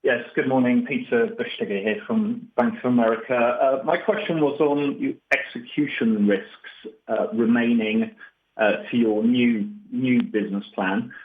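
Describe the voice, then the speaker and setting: A man's voice: mid-range voice, measured pace. A man asking questions as a financial analyst on an earnings call, in hesitant, stop-start phrases. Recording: tinny phone line.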